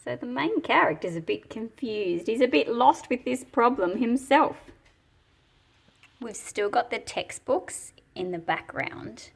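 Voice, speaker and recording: A woman hosts a picture book read-aloud, mixing narration with explanation. Her pitch swings expressively while she takes her time over each phrase. The voice has a soft, husky breathiness. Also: lively intonation, a little slow. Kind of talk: storybook read-aloud with teaching commentary.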